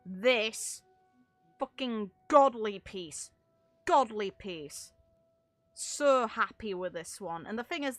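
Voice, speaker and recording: A woman's voice: medium pitch, fairly bright tone, no room sound. A woman game streamer giving casual, rambling livestream commentary, explaining things at length with casual swearing.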